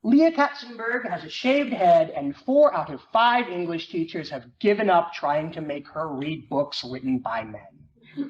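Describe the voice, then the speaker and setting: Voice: medium pitch, expressive, measured pace, lightly acted. A performer reading as an awkward teenage boy who narrates his comic confession in a wry, self-deprecating way. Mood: wry, rueful. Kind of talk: dramatic reading of a first-person novel. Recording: muffled, noisy, echoey room recording.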